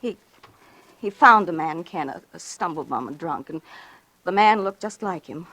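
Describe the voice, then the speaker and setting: A woman's voice: on the low side, lively intonation, normal speed, fairly bright tone, dry recording, rough recording. An actress playing a frightened, guilty woman in an anxious, urgent 1940s radio melodrama style. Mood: bitter, confessing. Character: frightened, guilty woman confessing her brother's scheme.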